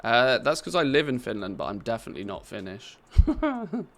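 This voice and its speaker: A man's voice: medium pitch, big pitch swings, a little fast. A British man chatting casually on a live game stream, reading out and answering viewers' questions with easy laughter. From Britain.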